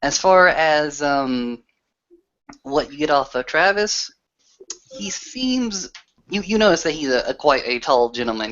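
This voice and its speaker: A man narrating as game master in a casual, halting way, searching for his descriptions. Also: medium pitch, a bit bright. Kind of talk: tabletop roleplay narration, casual. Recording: muffled, lo-fi online voice call.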